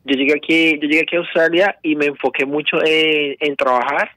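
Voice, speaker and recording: Earnest storytelling man, fairly high voice. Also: flat delivery, brisk, sharp tone, no echo. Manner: earnest, storytelling, repeats words as he goes. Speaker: salsa dance teacher, guest. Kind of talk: radio interview answers. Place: radio studio.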